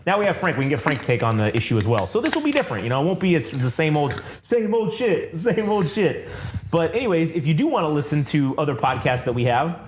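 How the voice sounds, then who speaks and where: A man's voice: on the high side, fast, roomy sound, noisy background. An American man hosting a podcast in casual chat, rambling and swearing freely. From United States. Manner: profane, rambling, animated. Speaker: podcast host.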